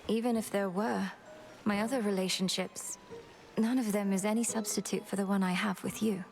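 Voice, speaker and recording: A woman's voice: mid-range voice, steady tone, normal speed, vocal fry, over music, natural voice. A woman voicing an earnest, determined young princess goddess in a video game, courteous, sincere and articulate. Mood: tender.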